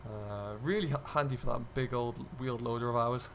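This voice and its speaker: A man's voice: medium pitch, fairly quick, fairly bright tone. Easygoing man over engine noise. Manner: easygoing, chatty, thinking aloud. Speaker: gaming video host. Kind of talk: casual narrated game role-play commentary.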